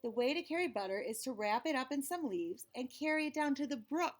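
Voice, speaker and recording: A woman voicing an exasperated storybook mama who scolds and instructs her silly child with drawn-out emphasis. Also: sits high, animated. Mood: exasperated scolding.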